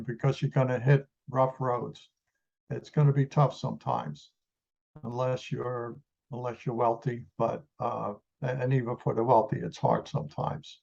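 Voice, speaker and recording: Dark-voiced man in his eighties from the Netherlands. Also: mid-range voice, highs cut off. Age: 80s. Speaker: interview guest, retired engineer. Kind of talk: reminiscing in an interview.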